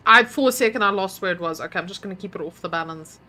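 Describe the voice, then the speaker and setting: A woman's voice: medium pitch, rapid-fire, sharp tone. A woman streamer casually commenting on her game, muttering to herself while solving puzzles and chatting with viewers.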